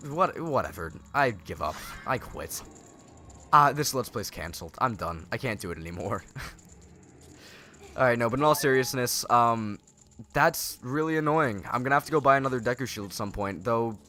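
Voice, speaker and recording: Bright-voiced, nasal young man, sarcastic gamer. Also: medium pitch, expressive, normal speed, a little hiss or hum. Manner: rambling and sarcastic, self-correcting. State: nasal from a starting cold. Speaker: let's play host. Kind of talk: casual gameplay commentary.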